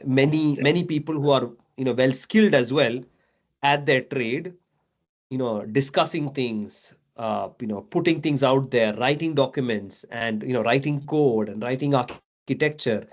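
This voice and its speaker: A man in his thirties who grew up in Dubai, mentoring in a relaxed chat. He talks loosely and at length, leaning on fillers and asking "right?" again and again, in a voice of middling pitch. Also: moderate pace. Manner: chatty, many fillers and tag questions. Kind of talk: casual video call chat.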